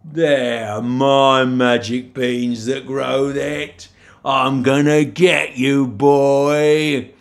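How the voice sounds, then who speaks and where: A man voicing a huge, gruff male giant who speaks slowly and threateningly in a storybook reading. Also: steady tone. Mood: menacing. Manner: booming, slow, threatening.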